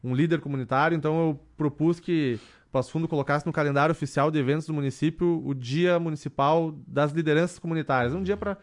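A man in his thirties, a councilman, answering questions in a radio interview in a measured way, with many fillers and restarts. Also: fairly high pitch, steady tone. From southern Brazil. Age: 30s. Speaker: city councilman, radio guest.

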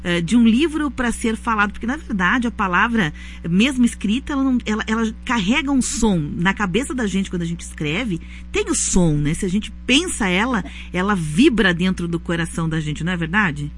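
A woman's voice: medium pitch, lively intonation, brisk, few pauses, slight background noise. A woman hosting a live radio interview, conversational, often tagging her sentences with a questioning "né". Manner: warm conversational with frequent "né?" tags. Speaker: radio host.

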